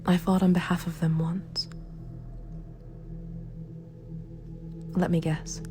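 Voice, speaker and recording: A woman playing a remorseful, sympathetic villain ruler in a scripted ASMR roleplay, speaking in a hushed, breathy, formal and measured way. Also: deep voice. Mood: somber, wry. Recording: clear background music. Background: dark, spooky background music.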